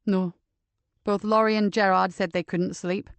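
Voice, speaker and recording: A woman's voice: medium pitch, steady tone, unhurried, clear, resonant tone, no room sound, lightly acted. A woman voicing a firm, coaxing police inspector. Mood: shaken. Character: determined female police inspector. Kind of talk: audiobook character voice.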